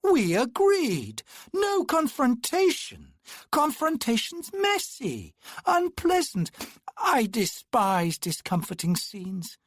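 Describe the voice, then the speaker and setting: A man's voice: extremely high pitch, huge pitch swings, slow, no room sound, clean studio sound, voice pushed up, exaggerated voice. A man voicing a young male immortal twin who speaks in a light, drawling, mocking way. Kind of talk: audiobook character reading.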